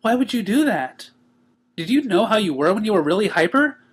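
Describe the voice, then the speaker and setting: A man's voice: sits high, sing-song, brisk, slightly rough, pitched above the performer's own voice, full character voice. A man voicing a bubbly, childlike young female cartoon pony, cheerful, bouncy and chatty, in a storybook reading. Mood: upbeat.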